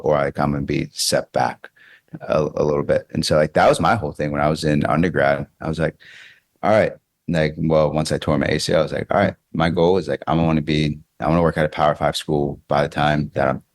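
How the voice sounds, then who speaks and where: A man's voice: extremely low pitch, no echo. An American man in his thirties talking casually and reflectively as an interview guest, often repeating phrases as he thinks aloud. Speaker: baseball coach interviewed as podcast guest.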